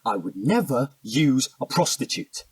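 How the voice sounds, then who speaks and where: Husky, defensive British suspect. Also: male voice, medium pitch, sing-song, measured pace. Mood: defensive, irritated. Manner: defensive, faltering. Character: volatile, defensive male murder suspect under interrogation. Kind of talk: audio drama dialogue.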